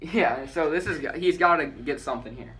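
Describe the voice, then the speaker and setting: A man's voice: a little high, normal speed, fairly bright tone, slight background noise, rough recording. A man co-hosting a casual sports talk show, chatty and joking as he reads out trades and counts down. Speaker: podcast co-host.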